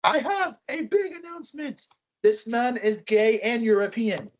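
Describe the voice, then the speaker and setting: A young man co-hosting a casual podcast, telling stories in a rambling, animated way with frequent restarts. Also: very high-pitched, unhurried, dull audio. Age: young adult. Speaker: podcast co-host. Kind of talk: casual chat.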